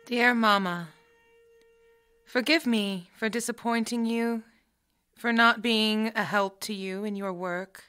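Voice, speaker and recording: An actress playing an earnest, devoted young daughter voicing a letter in a radio drama, tender and sincere. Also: female voice, a little high, fairly even intonation, slow, sharp tone, clear, resonant tone. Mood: tender, hopeful.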